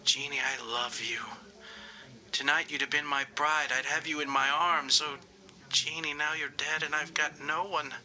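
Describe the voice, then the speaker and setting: A man's voice: on the high side, fairly even intonation, normal speed, pushed and bright, rough recording. A man playing a grief-stricken young bridegroom, tender and melodramatic, in a 1930s-style radio horror drama. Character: grief-stricken young bridegroom mourning his dead love.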